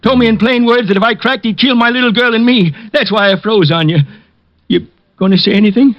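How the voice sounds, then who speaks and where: Actor as a high-voiced, fearful old ex-con. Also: male voice, brisk, dry recording, natural voice. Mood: resigned, confessing. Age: older. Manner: pleading, streetwise slangy speech. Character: frightened, protective old ex-convict street peddler. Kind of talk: 1940s-50s radio drama acting. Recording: dull vintage radio recording.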